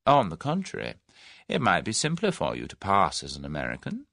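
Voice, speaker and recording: A man's voice: low voice, wildly swooping pitch, gravelly, fairly bright tone, no echo, studio quality, heavily acted. Man voicing a suave scheming businessman. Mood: smooth persuasive. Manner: suave, measured, persuasive. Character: scheming large businessman, smooth then menacing. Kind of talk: audiobook character reading.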